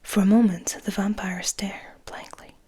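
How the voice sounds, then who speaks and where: A woman reading a story aloud in a gentle, hushed, breathy ASMR whisper. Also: medium pitch, fairly even intonation, unhurried.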